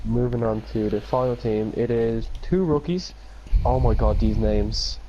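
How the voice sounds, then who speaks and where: A man's voice: medium pitch, unhurried. An Irish man co-hosting a podcast with casual banter, heard through a dull, slightly noisy microphone. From Ireland. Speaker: podcast co-host. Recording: muffled dull mic with background noise.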